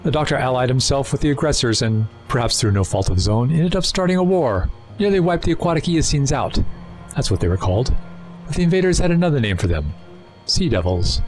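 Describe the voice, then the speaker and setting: An actor in an audio drama playing a suave, erudite renegade Time Lord villain, wordy, condescending and persuasive. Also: male voice, mid-range voice, animated, fairly quick, darkish tone, soft background music, lightly acted. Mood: persuasive, scornful. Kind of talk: full-cast audio drama acting.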